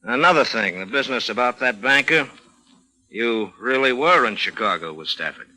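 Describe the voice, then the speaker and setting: A man's voice: medium pitch, animated, moderate pace, bright, forceful tone, rough recording. A man playing a wry, suspicious, tough police lieutenant in a 1940s radio drama, with a dry, laconic delivery.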